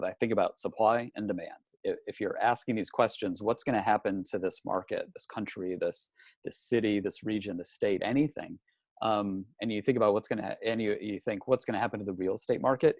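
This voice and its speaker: A man's voice: lowish voice, darkish tone, no echo. A man presenting a live webinar from a hotel room, talking through slides in a conversational way and often repeating words and restarting. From not from New Zealand. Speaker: real estate industry analyst presenting a webinar.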